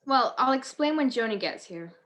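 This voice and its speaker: A woman playing a quick-witted, wry amateur sleuth in a radio-style mystery, with a teasing manner. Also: fairly high pitch, animated, fairly bright tone. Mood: wry, probing. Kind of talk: 1940s radio mystery acting.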